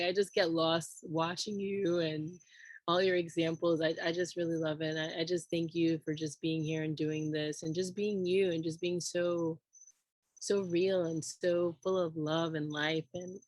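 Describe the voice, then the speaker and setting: A hoarse woman co-host and mother with an American accent, rambling in casual chat with many fillers. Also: lowish voice, little pitch movement, a little slow, no room sound. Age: adult with children. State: hoarse, losing her voice. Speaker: podcast co-host.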